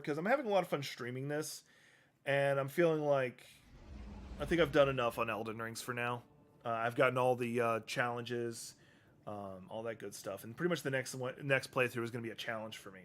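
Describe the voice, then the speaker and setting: A man streaming a game, talking casually through menus with lots of ums and restarts, his voice going hoarse. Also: medium pitch, fairly quick, fairly bright tone. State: losing his voice. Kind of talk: casual live-stream commentary.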